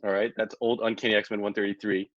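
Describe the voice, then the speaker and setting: Lower-voiced man, slightly muffled, pitching casually. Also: flat delivery, fast talker. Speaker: podcast panelist. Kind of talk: casual fan chat pitching ideas.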